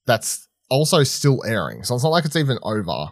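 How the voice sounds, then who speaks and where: A man's voice: mid-range voice, wildly swooping pitch, fairly quick, no room sound, studio quality. A man co-hosting a podcast in casual banter, blunt and offhand, swearing freely. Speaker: podcast co-host.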